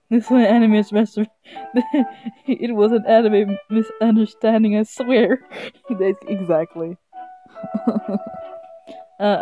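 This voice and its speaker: Woman game streamer, middle pitch, giggly, casual read-aloud playthrough, stumbling, music behind. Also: dark tone. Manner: giggly, amused, often stumbling over reading. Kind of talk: casual live playthrough, reading visual novel text aloud. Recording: slightly dull sound, game music behind.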